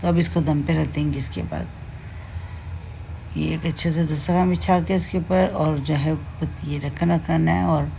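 A woman with a Pakistani Urdu accent walks viewers through a home recipe, her voice low and mellow. She talks in a relaxed, homely way, padding her steps with fillers. A faint background hiss sits under the close voice-over. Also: creaky, low-quality recording. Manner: unhurried, homely explaining with many fillers. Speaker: cooking channel host. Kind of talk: home cooking tutorial voice-over. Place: home kitchen.

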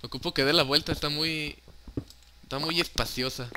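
Bright-voiced young Mexican man gaming. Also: on the high side, steady tone, moderate pace, a little hiss or hum. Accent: Mexican Spanish. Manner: chatty, joking, swears casually, mixes in English words. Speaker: gaming YouTuber. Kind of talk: casual Minecraft let's play commentary.

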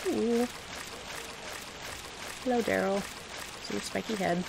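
A woman's voice: medium pitch, takes it slowly, vocal fry, background noise. A woman giving casual, chatty gameplay commentary as host, often talking aloud to the game's characters. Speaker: gaming video host.

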